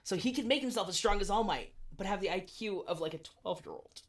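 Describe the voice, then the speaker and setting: A woman in her twenties co-hosting a podcast, chatting casually with animated, swearing asides. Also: medium pitch, fairly quick. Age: 20s. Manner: animated, swearing, self-deprecating. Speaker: podcast co-host. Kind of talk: casual chat.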